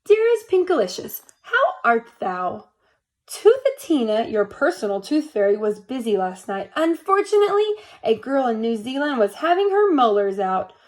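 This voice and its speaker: A gracious male Cupid from a storybook, read out by a woman. He speaks in flowery, formal phrases, with a high pitch and lively swings. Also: moderate pace. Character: courtly, flowery male Cupid writing a letter.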